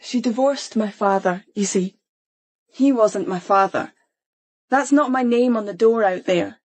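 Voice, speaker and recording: A woman's voice: mid-range voice, unhurried, lightly acted. A woman playing a troubled, flippant, evasive fourteen-year-old girl in a radio crime drama.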